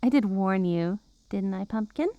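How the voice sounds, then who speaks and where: A woman's voice: medium pitch, moderate pace, mellow, dry recording, lo-fi sound, natural voice. A woman playing a possessive, menacing witch girlfriend in an ASMR roleplay, cooing and teasing with mock-innocent sweetness and veiled threats. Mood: possessive, gleeful.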